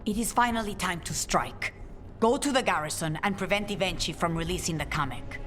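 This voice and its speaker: Woman acting a solemn assassin boss lady from a video game, lowish voice, music behind. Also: fairly quick, a bit bright, lightly acted. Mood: bitter resolve. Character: grave commanding female assassin leader of the Crows.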